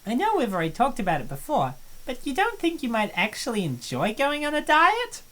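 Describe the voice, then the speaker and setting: A high, lively voice: a woman doing a young college friend who worries about her friend. She is earnest and gently prying, and her pitch rises and falls a lot. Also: brisk, exaggerated voice. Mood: concerned. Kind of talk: fan voice-over of a visual novel.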